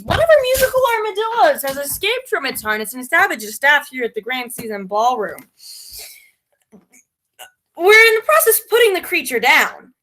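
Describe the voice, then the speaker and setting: A woman's voice: sits high, a bit bright. A woman playing an exasperated, melodramatic announcer in a spoof old-time radio broadcast. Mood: strained formality.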